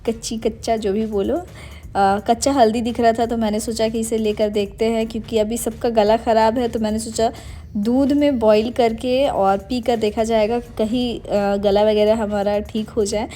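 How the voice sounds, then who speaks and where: Chatty woman vlogger with a mellow voice. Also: a little high. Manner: chatty, meandering, mixes English words into Hindi. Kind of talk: casual vlog voice-over narration.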